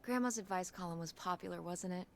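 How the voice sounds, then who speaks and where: An actress playing a wry, curious teenage daughter in a naturalistic video game style. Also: female voice, on the low side, quick pace, vocal fry, slight background noise. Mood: fond, reminiscing.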